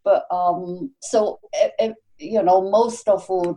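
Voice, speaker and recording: A woman, a guest on a podcast, speaking reflectively with many pauses and fillers. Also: medium pitch, fairly even intonation, dark tone, low-quality recording. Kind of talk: casual interview conversation.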